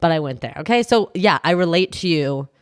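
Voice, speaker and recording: Woman, nasal, playful podcast co-host, very animated pitch, lowish voice. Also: clean tone, no echo, clean studio sound. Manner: playful, teasing, quick to laugh. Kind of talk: casual chat.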